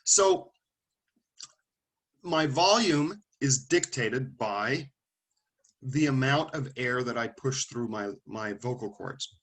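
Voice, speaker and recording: A man's voice: mid-range voice, lively intonation, slow pace, long pauses, fairly bright tone, poor audio. A man lecturing a classroom of students, calling on them by name and thinking aloud as he explains. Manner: conversational, thinking aloud with restarts and asides.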